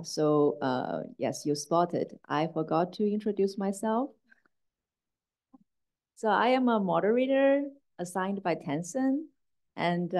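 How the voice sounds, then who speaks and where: Low-pitched woman moderating, halting delivery. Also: a little mellow. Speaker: moderator, assistant professor. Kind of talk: informal moderating of an academic talk. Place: university lecture room.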